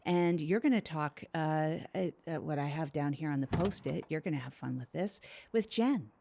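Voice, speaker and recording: An offhand, evasive podcast co-host, played by a woman with a slightly low voice. She banters haltingly in an improvised comedy style. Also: animated, creaky, background noise.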